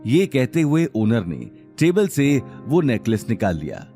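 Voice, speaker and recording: A man's voice: mid-range voice, huge pitch swings, unhurried, warm tone, faint music behind. A man narrating a scripted story in a dramatic, suspenseful storytelling style.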